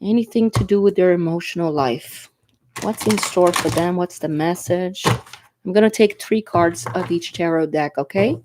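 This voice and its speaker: A woman's voice: lowish voice, moderate pace, noisy background. A woman whose first language is Portuguese gives a chatty tarot reading, congested and coughing from allergies or a cold. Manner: chatty, reassuring, often asks "okay?". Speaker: tarot reader. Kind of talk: casual tarot reading to camera. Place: indoors at a table.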